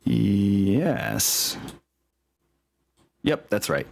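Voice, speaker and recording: A man's voice: on the low side, big pitch swings, very slow, darkish tone. A man casually running a tabletop game as game master, thinking aloud and encouraging his players. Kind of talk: casual game refereeing chat. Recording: music playing behind the voice. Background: music.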